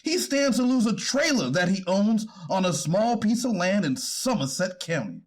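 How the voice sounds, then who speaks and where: Man acting as defense lawyer, high-pitched, very animated intonation, formal, deferential, earnest courtroom pleading, readers theater. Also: normal speed. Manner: deferential, formal, hesitant when challenged. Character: deferential male defense attorney pleading for his client. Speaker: actor reading a defense attorney.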